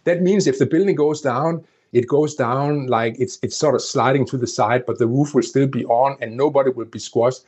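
Husky man with a Danish accent. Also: medium pitch, moderate pace, few pauses, darkish tone. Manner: explanatory, with frequent restarts and "you know". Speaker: interview guest, former project planner. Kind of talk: conversational interview.

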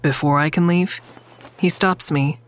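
Earnest, anxious counselor, lowish voice. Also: female voice, steady tone, measured pace. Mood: anxious narration. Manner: hesitant, earnest, introspective. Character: anxious, earnest female crisis counselor narrating her own story. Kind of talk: first-person audio drama narration and dialogue.

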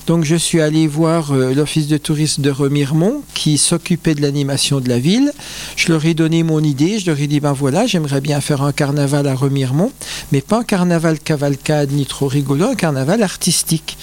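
Older Frenchman, slightly high voice, reflective. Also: darkish tone. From France, Vosges. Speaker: interview guest, carnival founder. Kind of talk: conversational storytelling in an interview.

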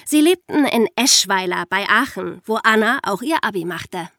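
A woman co-hosting a scripted true-crime podcast, narrating in a lively, lightly playful way. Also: mid-range voice, moderate pace, dry recording, clean studio sound. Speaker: podcast co-host.

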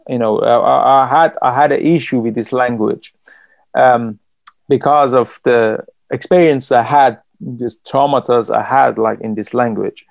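A man from Iran, a writer, speaking in an interview in a thoughtful, halting way with many restarts and fillers. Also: medium pitch, gravelly, no echo. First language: Persian.

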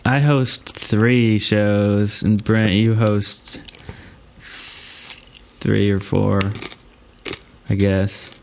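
A man hosting a podcast in casual chat, talking in a halting, rambling way. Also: on the low side, fairly even intonation, gravelly, darkish tone, a little hiss or hum. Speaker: podcast host. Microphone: online voice call.

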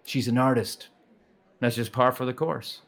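A man's voice: medium pitch, fairly even intonation, moderate pace, gravelly, close to an everyday voice. A man playing an earnest trainer-turned-star. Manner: earnest, eager, self-assured.

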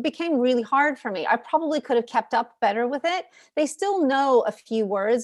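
A woman's voice: fairly high pitch, no echo, clean studio sound. A woman in her thirties from New York, whose first language is Ukrainian, telling her family's story as an author guest in a chatty way with many fillers. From New York, USA. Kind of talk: casual interview answers.

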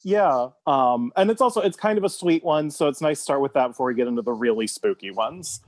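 A man's voice: medium pitch, expressive, brisk, no room sound. Man telling a personal story, dry sound.

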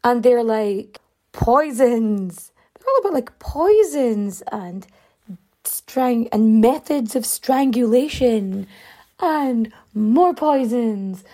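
Mellow-voiced woman telling a story, a bit hoarse. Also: lively intonation, slow pace. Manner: chatty, many fillers, big pitch swings. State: losing her voice. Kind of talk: casual storytelling.

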